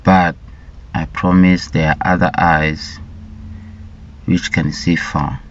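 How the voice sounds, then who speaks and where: A man from Rwanda speaks slowly in a very low voice, telling his personal story with halting, deliberate phrasing and repeated words. The recording sounds dull and lo-fi. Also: flat delivery, slight background noise. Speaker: vlogger sharing his life story.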